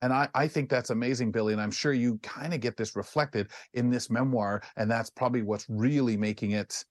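Male Canadian radio host, mature, mid-pitched, discursive and conversational, dry sound. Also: fairly even intonation, fairly quick, no room sound. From Ontario, Canada. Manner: rambling, musing, acts out snippets of imagined dialogue. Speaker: talk show host. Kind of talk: casual radio panel discussion.

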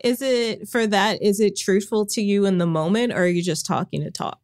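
A woman co-hosting a podcast in casual chat, speaking in a monotone, flat way. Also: mid-range voice, normal speed. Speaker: podcast co-host.

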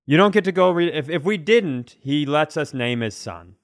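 A man's voice: on the high side, animated, moderate pace, no room sound. Wry man bantering in a dry-sounding recording. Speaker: comedy podcast co-host. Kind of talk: casual comedic banter.

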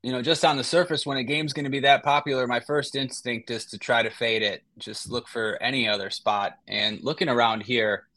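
A man's voice: mid-range voice, steady tone, a little fast, few pauses, bright, forceful tone. A man co-hosting a sports podcast who gives casual analysis, thinking aloud with hesitant restarts. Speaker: podcast co-host.

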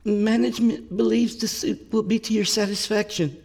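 A man's voice: very high voice, animated, takes it slowly, gravelly, darkish tone, breathy edge, no room sound, pitched above the performer's own voice, heavily acted. A man voicing a timid young woman, a kitchen apprentice, in a story reading. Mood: shaky, dutiful.

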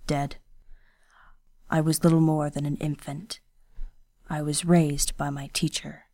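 Woman voicing a stern young ronin, low and quiet, clipped words, storybook, dry close sound. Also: level tone, unhurried, dry recording. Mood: terse.

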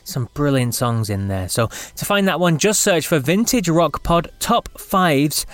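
A man's voice: on the high side, animated, measured pace, fairly bright tone, no room sound. An English man with a slight Scottish lilt, hosting a rock podcast, chatty and upbeat, reading his intro then chatting with his guest. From England. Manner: chatty, upbeat, laughs easily. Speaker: podcast host. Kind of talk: scripted intro then casual interview.

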